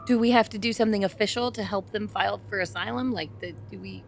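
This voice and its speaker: A woman's voice: medium pitch, fast talker, gravelly, background noise. A woman chatting as a player in a tabletop game, reasoning aloud in a tentative, questioning way. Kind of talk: casual tabletop game chat.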